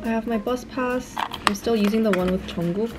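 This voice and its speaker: A woman's voice: fairly high pitch, moderate pace. Canadian girl in her 20s vlogging into a handheld cam, chatty and upbeat, music playing under it. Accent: Canadian English. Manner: chatty, upbeat, filler-heavy. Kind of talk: casual vlog talk to camera. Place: indoors and outdoors, hotel room and rooftop. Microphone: handheld camera close to face. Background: background music.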